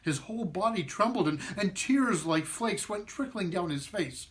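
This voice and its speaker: A man tells a children's story with very animated pitch. His delivery is theatrical and expressive, with some halting repeats along the way. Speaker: performing arts director reading a story.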